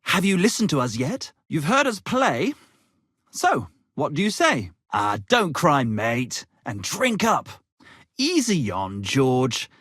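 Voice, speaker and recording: Husky, flamboyant medieval tavern poet. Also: male voice, on the high side, huge pitch swings, slow pace, a bit bright, no room sound, studio quality, exaggerated voice. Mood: breezy, boastful. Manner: florid, theatrical, pompous. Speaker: video game voice actor. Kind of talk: theatrical game character dialogue.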